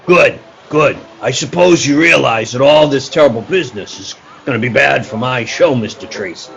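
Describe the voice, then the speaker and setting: Guy as tired circus boss, creaky sharp voice, matter of fact, radio play. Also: mid-range voice, moderate pace, dull audio, slight background noise. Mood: world-weary. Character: world-weary, businesslike circus showman.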